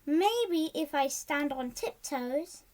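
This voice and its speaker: A child reading a storybook aloud in a halting way, stumbling over words and repeating them. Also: high-pitched, animated, darkish tone.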